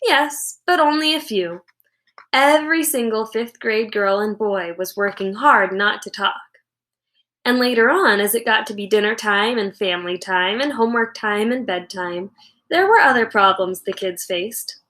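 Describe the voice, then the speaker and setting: A woman reading a children's storybook aloud as a teacher would, with expressive narration. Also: medium pitch, moderate pace. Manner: animated, acts out characters' lines.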